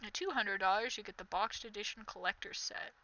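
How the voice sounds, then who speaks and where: A woman's voice: medium pitch, fairly quick, pushed and bright, a little hiss or hum, low-quality recording. A young woman doing sarcastic reaction commentary, reading text aloud and mocking it with exasperation, in a somewhat nasal voice. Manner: mocking, exasperated, reads aloud then jabs. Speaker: commentary vlogger.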